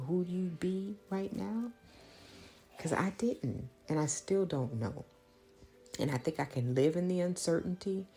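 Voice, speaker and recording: A woman from the American South speaks in a very deep register with plenty of gravelly fry and a mellow, dark tone. Her carries an earnest, reflective monologue that slips into prayer. She halts and repeats phrases as she thinks out loud. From small-town Louisiana, United States. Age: late 30s. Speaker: podcast host.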